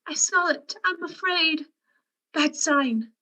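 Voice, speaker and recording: Woman doing a shy lovestruck farm girl in a radio play, really high bright voice, timid. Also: slow, heavily acted. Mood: frightened. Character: shy, adoring young country girl in love, superstitious.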